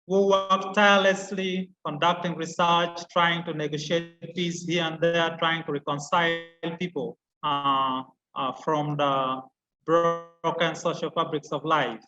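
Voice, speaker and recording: A man with a Ugandan accent giving a formal welcome speech as seminar moderator, measured and pausing often on "uh". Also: on the high side, fairly even intonation, pushed and bright. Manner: measured, with frequent "uh" pauses. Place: indoors in Gulu, northern Uganda. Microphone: video call. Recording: muffled video call audio.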